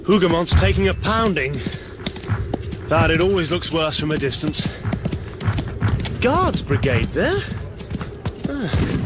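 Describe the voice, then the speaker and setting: An eager, dutiful British army major in a historical radio drama. His clipped, fairly high voice moves with lively pitch, over loud effects and music. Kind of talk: period radio drama acting.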